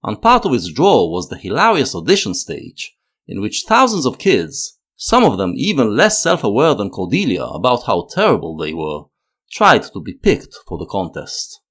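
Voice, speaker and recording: A man narrating a scripted analytical video essay in a measured, expressive way. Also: on the low side.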